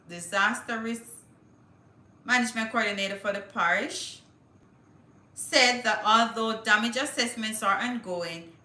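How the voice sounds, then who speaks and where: Bright-voiced woman livestreaming. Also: mid-range voice, reverberant. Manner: chatty, thinks aloud, reads with stumbles. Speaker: livestream host. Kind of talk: casual livestream chat, reading news aloud.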